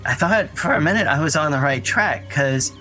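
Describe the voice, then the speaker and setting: An actor playing an earnest, anxious young boy who tells his story in a rambling, halting way in an audio drama. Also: on the high side, expressive, pushed and bright. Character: Dougie, frightened earnest young boy recounting his brother's disappearance. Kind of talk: naturalistic audio drama acting. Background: music under the voice.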